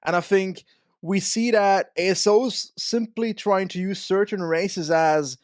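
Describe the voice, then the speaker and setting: A man's voice: sits high, unhurried, dry recording, studio quality. A man co-hosting a podcast, explaining his points in a casual, analytical way with examples. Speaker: podcast co-host.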